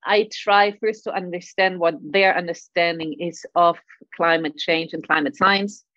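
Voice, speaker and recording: A woman's voice: lowish voice, steady tone, unhurried, pushed and bright, no room sound, highs cut off. A middle-aged woman with a German accent, answering interview questions with frequent stammered repeats and light laughs. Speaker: guest, climate scientist.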